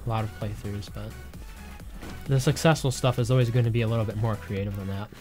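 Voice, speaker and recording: A man's voice: on the low side, fairly even intonation, fast talker, darkish tone, faint music behind. A man getting over a cold, chatting casually with a viewer and thinking aloud as he plays through a livestream. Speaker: game streamer. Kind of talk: casual livestream chat while playing.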